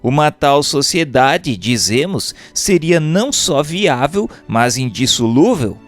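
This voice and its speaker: A Brazilian man reading a written text aloud as a formal, measured, expressive narration. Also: fairly high pitch. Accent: Brazilian Portuguese. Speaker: reader of a Spiritist text. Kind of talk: scripted audiobook-style narration. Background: background music.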